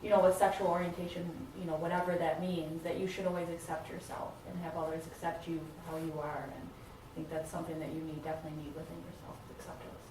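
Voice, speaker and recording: A woman's voice: low-pitched, flat delivery, fast, close to an everyday voice. A woman playing an accepting mother in a family therapy role-play, reassuring and informal. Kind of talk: role-played therapy session. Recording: very echoey room, distant mic, faint noise.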